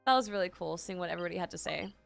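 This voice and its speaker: A woman's voice: lowish voice, rapid-fire, creaky. A woman streamer giving casual, exclamatory, giggly reactions to a game. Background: game music.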